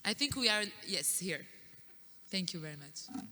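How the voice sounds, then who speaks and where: Bright-voiced woman moderating in a big hall. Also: fairly low pitch, animated, measured pace, a little hiss or hum. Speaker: panel moderator. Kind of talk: moderating a conference panel. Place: large conference hall. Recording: long hall reverb.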